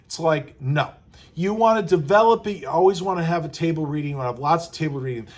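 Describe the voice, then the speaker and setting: Casting: male writing coach, somewhat high-pitched, informal and conversational, slips into animated self-quotes while advising. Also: quick pace. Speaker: screenwriting coach. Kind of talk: casual advice talk to camera.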